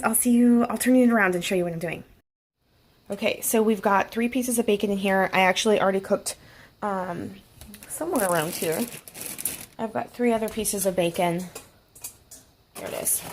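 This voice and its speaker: A woman with a cold talks her way through a casual cooking vlog in her home kitchen. She rambles and fills gaps with ums. Faint frying noise sits behind her voice. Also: lowish voice. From Oregon. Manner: chatty, rambling with many ums. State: has a cold. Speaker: fitness vlogger.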